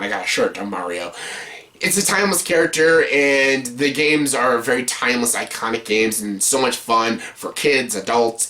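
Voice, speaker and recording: Give the voice of a man reviewing on video in a casual, ranting style. He sits at a medium pitch and talks bluntly, with plenty of exasperation and frequent swearing. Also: sharp tone. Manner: blunt, heavily profane, exasperated. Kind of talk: casual ranked movie review rant.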